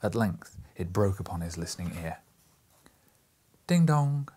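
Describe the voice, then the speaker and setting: Husky low-voiced man narrating a classic tale. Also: fairly even intonation, fairly quick. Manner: theatrical, hushed at times, with emphatic stresses. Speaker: audiobook reader. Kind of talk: dramatic literary narration.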